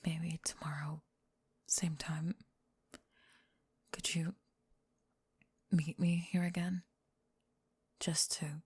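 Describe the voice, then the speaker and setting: Goth bully girl for ASMR roleplay , female performer: prickly, flustered, halting, secretly smitten, husky with some fry. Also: low voice, darkish tone, pitched below the performer's own voice, exaggerated voice. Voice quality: breathy. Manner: tsundere, defensive, halting, backtracking.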